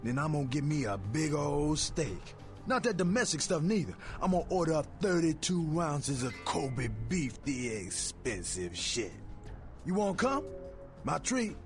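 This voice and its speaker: Game character: jovial hustler dealer, high voice, highly animated and slangy, music underneath. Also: male voice. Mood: boastful, chummy. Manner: exuberant, slangy, drawn-out exclamations. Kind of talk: video game character acting.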